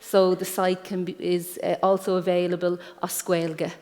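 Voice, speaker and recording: An Irish woman giving an explanatory conference presentation as a guest speaker, pausing often with ums. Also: on the low side, little pitch movement, mellow. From Ireland. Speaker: guest speaker, careers guidance professional. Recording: echoey hall with long reverb.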